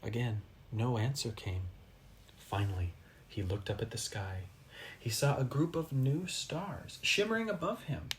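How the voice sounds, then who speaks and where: Male storybook reader, expressive, lively swooping intonation, dramatic pauses, faintly noisy recording. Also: mid-range voice. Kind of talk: expressive children's story read-aloud.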